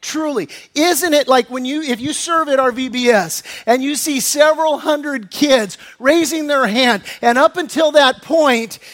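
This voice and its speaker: An American man preaching a sermon as pastor, reading scripture and growing animated and excited as he explains it. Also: very high-pitched, unhurried, few pauses.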